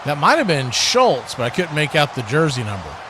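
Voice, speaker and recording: A man's voice: a little high, big pitch swings, fairly quick, sharp tone. A man doing live radio play-by-play commentary of a football game as the announcer. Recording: clearly noisy live broadcast.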